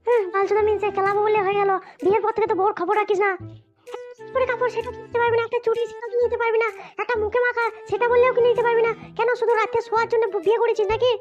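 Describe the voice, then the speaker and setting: Squeaky, quarrelsome cartoon wife. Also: female voice, little pitch movement, very fast. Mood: defiant. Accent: West Bengal. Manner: scolding, nagging, foul-mouthed. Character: shrill, quarrelsome young wife, defiant and aggrieved. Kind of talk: amateur cartoon comedy squabbling. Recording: dull lo-fi sound, music behind.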